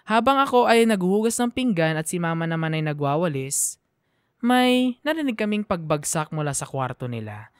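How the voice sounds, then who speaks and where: A man's voice: high-pitched, sing-song, normal speed, smooth, dry recording, soft background music. A man giving dramatic, scripted first-person narration for a radio drama in a heartfelt, emotional manner.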